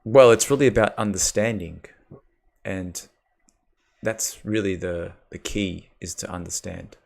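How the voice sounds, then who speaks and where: An Australian man with a very low, gravelly voice hosts a casual live call-in chat. He asks probing questions and offers plain encouragement. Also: unhurried.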